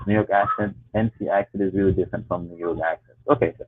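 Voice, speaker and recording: A man's voice: low voice, fairly even intonation, quick pace, husky. A man from Haiti hosting an interview, encouraging and casual, with many short replies. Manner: warm, encouraging, frequent backchannels. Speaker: host. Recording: tinny phone-like line.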